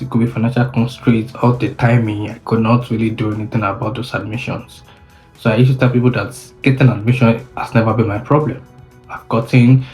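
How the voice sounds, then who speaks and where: A man's voice: medium pitch, a little mellow, rough recording. A young man from Nigeria with a Nigerian English accent, telling his own story in a casual vlog and often restarting his sentences. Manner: unhurried, with frequent restarts and self-corrections. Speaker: vlogger.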